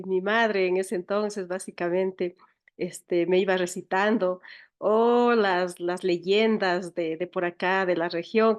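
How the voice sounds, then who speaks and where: Ecuadorian woman, medium pitch, conversational storyteller. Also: clear, resonant tone. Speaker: interview guest, writer and teacher.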